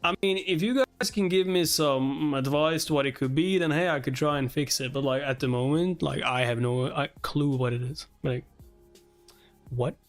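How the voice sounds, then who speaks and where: A man with a fairly high voice does a casual live read-along for viewers. He talks informally and swears offhandedly. Soft music plays behind him. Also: moderate pace. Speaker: livestreamer reading a webtoon aloud and reacting. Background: background music.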